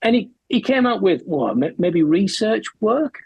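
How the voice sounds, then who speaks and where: Man, 40s, podcast guest, wry storytelling, husky voice, dry sound. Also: high voice, lively intonation, a little slow, no echo, lo-fi sound. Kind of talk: casual chat.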